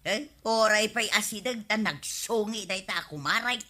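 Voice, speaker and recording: A woman's voice: mid-range voice, normal speed, pushed and bright. An actress playing a testy, defensive village woman with a Filipino accent, arguing in a radio comedy drama. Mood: defensive, annoyed.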